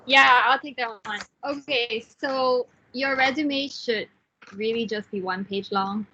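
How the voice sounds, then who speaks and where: A woman in her thirties from Singapore, a software team lead giving frank, encouraging career advice in an online class, with fillers and restarts. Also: on the high side, measured pace, dull audio. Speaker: guest speaker, software engineer team lead.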